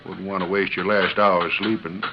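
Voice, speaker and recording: A man's voice: sits low, little pitch movement, moderate pace. A man playing an awkward, apologetic small-town sheriff with folksy talk in an old western radio drama. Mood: awkward sympathy. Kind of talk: 1930s-50s western radio drama acting. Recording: muffled, hissy old radio recording.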